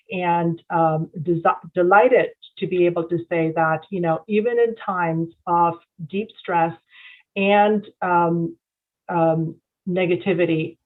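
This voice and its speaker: A middle-aged woman from southern India telling her life story to an audience, with lots of "um"s. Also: lowish voice. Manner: warm storytelling with frequent fillers. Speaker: event speaker. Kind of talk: personal talk to an audience. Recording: tinny phone-like line.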